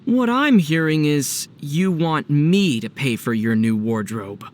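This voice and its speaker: A young man playing a calm, teasing adventurer hero in a video game, easygoing and dry in his delivery. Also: fairly high pitch, slow pace. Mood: amused.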